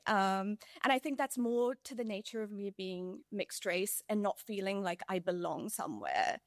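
A woman with a mixed accent that is hard to place, musing aloud as an interview guest, her thoughts looping back through fillers and restarts. Her voice sits at a middling pitch and sounds dry and close, with no room around it. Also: no echo. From India. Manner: reflective, rambling, many fillers and restarts. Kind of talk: casual interview chat.

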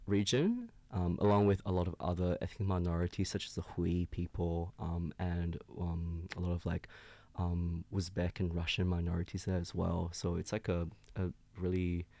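Low-voiced man hosting a radio chat. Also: warm tone, dry recording. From China. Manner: hesitant, many ums. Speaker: radio host.

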